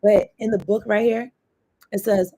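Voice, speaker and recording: A woman's voice: mid-range voice, steady tone, unhurried, dark tone, breathy edge. A woman doing a casual tarot reading, chatty and rambling as she free-associates between cards and thoughts, swearing now and then. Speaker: tarot card reader.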